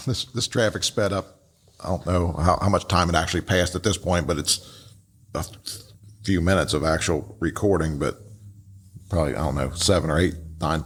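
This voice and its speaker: A man with a low voice speaks as a podcast host. He gives loose, unscripted commentary in a halting way, repeating words and adding casual asides. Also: husky.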